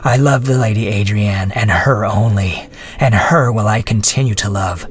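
A man voicing an imprisoned young nobleman who speaks hushed, weary and contemptuous. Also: a little high, normal speed, noisy background, voice pushed up, lightly acted.

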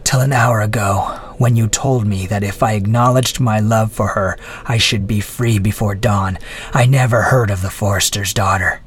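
Man doing a hushed, broken prisoner. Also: fairly high pitch, moderate pace, voice pushed up, close to an everyday voice. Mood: weary. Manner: hushed, weary, contemptuous. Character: broken, imprisoned young nobleman.

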